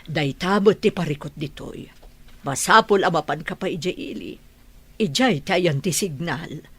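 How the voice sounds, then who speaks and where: Low, husky older village mother played by a woman. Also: expressive, unhurried, heavily acted. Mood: calm, explaining. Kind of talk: radio drama acting.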